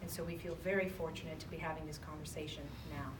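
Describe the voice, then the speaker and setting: A woman's voice: low voice, little pitch movement, fast talker. A woman moderating a public conversation, polite and upbeat. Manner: polite, upbeat, laughs easily. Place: event hall. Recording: echoey room, mic at a distance, noisy.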